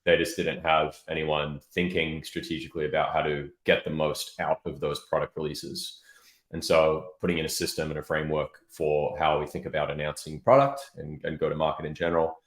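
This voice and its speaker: A man with an extremely deep voice talks as a guest in an interview. He explains his points step by step in a conversational style. The sound is echoey, as from a reverberant room. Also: flat delivery, fairly quick. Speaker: interview guest, product marketing executive.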